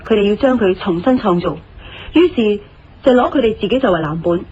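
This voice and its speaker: An actress playing a sharp, confident woman who reasons things out deliberately in a Cantonese audio drama, with a Hong Kong accent. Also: medium pitch, lively intonation, husky. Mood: assured, probing. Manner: deliberate, didactic, assured. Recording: slightly muffled radio drama, music underneath.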